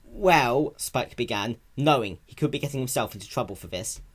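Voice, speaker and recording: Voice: mid-range voice, a little fast. A narrator reading a story aloud as a dramatic reading, in scripted third-person prose.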